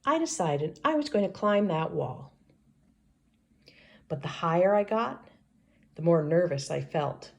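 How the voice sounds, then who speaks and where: A woman reading a picture book aloud to children in an expressive storybook style, like a teacher. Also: on the low side, gravelly. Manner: pauses to ask children questions.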